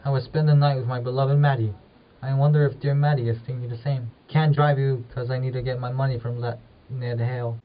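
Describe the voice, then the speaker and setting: A man's voice: medium pitch, fairly even intonation, brisk, close to an everyday voice. A man playing a poor, conflicted farmer with rural old-fashioned phrasing in an amateur period drama. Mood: brooding, longing. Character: poor, conflicted married farmer, secretly in love. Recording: muffled audio, distant microphone.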